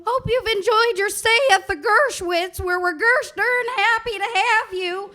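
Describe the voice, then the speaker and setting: A woman's voice: extremely high pitch, unhurried, sharp tone, exaggerated voice. A woman voicing a timid, hapless male bellhop who is eager to please, in a 1940s radio comedy. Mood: chipper.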